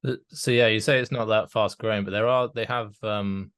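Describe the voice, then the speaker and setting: A man hosts a casual interview podcast in a fairly low voice. The sound is close and dry, with no room on it. He feels his way into each question, halting and rephrasing as he goes. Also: fairly even intonation, a bit bright, dry recording.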